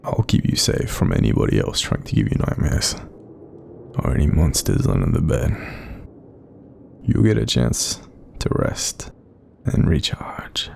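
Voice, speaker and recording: Australian man playing a sleep paralysis demon, extremely low, gravelly fry, breathy, hesitant, apologetic, comforting, intimate audio roleplay, faint background noise. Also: huge pitch swings, unhurried, heavily acted. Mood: apologetic, soft. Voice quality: very deep, breathy, creaky. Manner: soft-spoken, hesitant, reassuring, gently self-deprecating.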